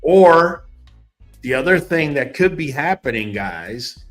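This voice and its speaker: A man's voice: a little high, big pitch swings, slow pace, fairly bright tone, faint music behind. A man hosting a casual livestream, talking straight to his viewers in a chatty way and often repeating words. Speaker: livestream host.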